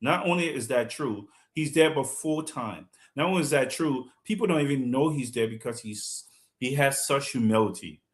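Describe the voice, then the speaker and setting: A man's voice: medium pitch, normal speed. A man hosting a talk show, speaking passionately and building to indignant emphasis. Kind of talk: heated talk show commentary.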